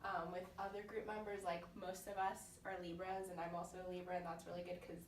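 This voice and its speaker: A woman's voice: fairly low pitch, flat delivery, fairly quick. Young woman presenting in an echoey room. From South Florida, United States. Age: young adult. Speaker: college student presenter. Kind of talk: informal class presentation. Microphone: distant microphone. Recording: distant, echoey room, faint noise.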